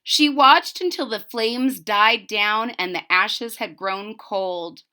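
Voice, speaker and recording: A woman reading a children's story aloud expressively and deliberately, pausing to explain it simply to young listeners. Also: mid-range voice, sharp tone, smooth. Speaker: storybook reader.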